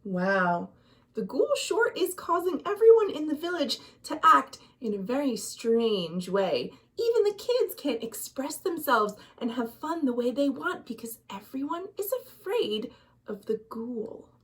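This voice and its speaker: High-voiced woman telling stories expressively. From United States. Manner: expressive, dramatic, deliberate delivery with hushed phrases. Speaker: children's librarian, storytime host. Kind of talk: animated picture-book storytelling for children.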